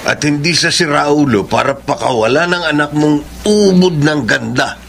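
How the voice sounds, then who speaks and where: Gravelly old sweet-talking dad, radio play banter, noticeable noise behind. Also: male voice, a little high, normal speed. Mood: flirty, joking. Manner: bantering, wheedling. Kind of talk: Filipino radio drama acting. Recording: clear background noise.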